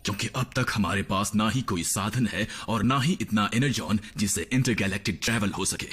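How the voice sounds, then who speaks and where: A man voicing a gruff, grumbling robot medic and inventor who explains technology in a dubbed cartoon. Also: lowish voice, fairly quick, fairly bright tone. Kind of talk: Hindi cartoon dub.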